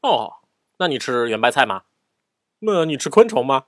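A woman's voice: extremely low pitch, very animated, moderate pace. A woman voicing a naive, curious, puzzled young rabbit in a children's audio drama, asking things in a hesitant, wondering way. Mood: innocent curiosity. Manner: wondering, hesitant questions, innocent. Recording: music behind the voice.

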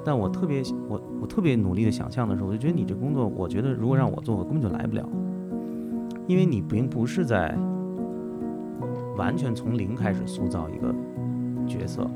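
A man's voice: fairly low pitch, expressive, a little fast, pauses often, over loud music. A man hosting an interview podcast, speaking reflectively and conversationally. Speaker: podcast host. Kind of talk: reflective narrated introduction, then interview conversation.

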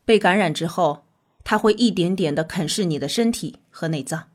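Low-voiced, composed actress as research doctor. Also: female voice, normal speed, natural voice. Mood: clinical, calm. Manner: calm, matter-of-fact, formal. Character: composed female research doctor, frank and clinical. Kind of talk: Chinese sci-fi radio drama acting.

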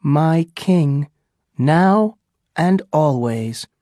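A man's voice: a little high, expressive, extremely slow, mellow, no echo, studio quality. A man putting on a pleading young woman's voice. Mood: tender. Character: young native woman, earnest and devoted.

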